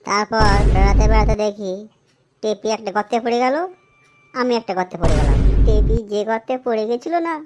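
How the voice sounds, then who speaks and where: A woman's voice: mid-range voice, brisk, over loud music, lightly acted. Woman voicing a cheeky cartoon schoolboy. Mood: excited storytelling. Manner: cheeky, smart-alecky. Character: cheeky young schoolboy, a classroom joker. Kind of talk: comic cartoon dialogue.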